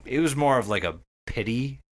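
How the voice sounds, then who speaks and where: A man's voice: mid-range voice, lively intonation, moderate pace. A man hosting a podcast, recapping a story casually and enthusiastically, swearing freely and repeating words. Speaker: podcast host.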